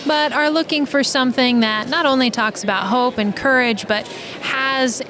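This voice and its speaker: A woman chatting casually as a podcast guest, with a teasing, joking manner. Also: moderate pace. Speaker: podcast guest, creator of a fantasy fiction podcast. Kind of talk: casual chat with playful banter. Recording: noisy event floor, background rumble.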